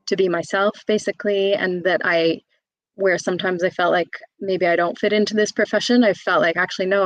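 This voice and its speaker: A woman in her thirties with a lowish voice, speaking as a guest in an interview. She talks candidly and chattily, with many fillers and restarts, and sounds close and dry with no room echo. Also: fairly bright tone. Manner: open, chatty, frequent fillers and self-restarts. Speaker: guest, self-employed employment solicitor.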